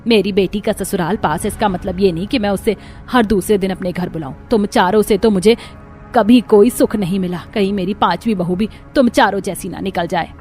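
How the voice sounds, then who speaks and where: A woman's voice: mid-range voice, moderate pace, a little mellow, soft background music, lightly acted. A woman voicing a complaining, scolding mother-in-law in a cartoon family story. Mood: aggrieved. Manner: scolding, aggrieved.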